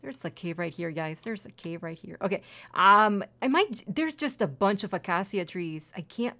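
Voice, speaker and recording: A woman's voice: mid-range voice, lively intonation, moderate pace, pushed and bright. Chatty woman playing a game. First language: Spanish. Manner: chatty and apologetic, talks to viewers and to game animals and trees. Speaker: gaming channel host. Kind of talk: casual let's-play commentary.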